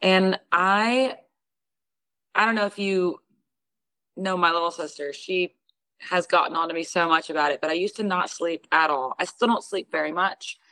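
Young woman, low-ish voice, lively storyteller, dry close recording. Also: normal speed, no echo, poor audio. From Houston, Texas. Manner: lively storyteller who acts out what others said. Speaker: guest singer. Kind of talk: casual interview storytelling.

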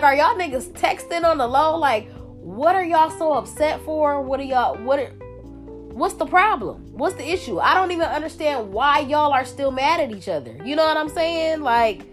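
A young woman with a congested voice from a cold, hosting a solo podcast in a blunt, profane, casual way. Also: high voice. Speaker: podcast host.

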